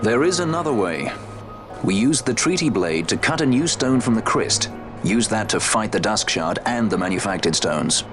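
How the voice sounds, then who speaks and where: An actor as a suave, wry sky pirate. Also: male voice, fairly low pitch, lively intonation, normal speed, close to an everyday voice. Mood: earnest. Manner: dry, teasing delivery. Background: game score music.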